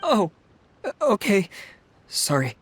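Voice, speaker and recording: An actor playing a timid, awkward civil servant in an anime game, hesitant, halting and overly precise. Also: male voice, high voice, huge pitch swings, a little hiss or hum, heavily acted. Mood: nervous.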